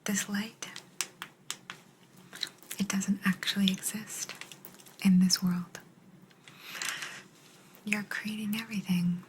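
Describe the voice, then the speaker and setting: Woman playing a kind aloof alien lady whispering real slow for asmr, breathy n gentle, some hiss in the recording. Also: medium pitch, lightly acted. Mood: calm, aloof. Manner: slow, halting, gentle, calmly detached. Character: kind but aloof female alien abductor examining a human. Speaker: ASMR roleplayer.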